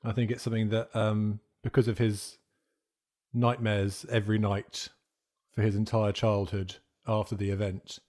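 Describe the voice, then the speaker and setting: Mellow, low-voiced man at a game. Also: level tone, moderate pace. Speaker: roleplaying game player. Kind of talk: casual improvised character backstory.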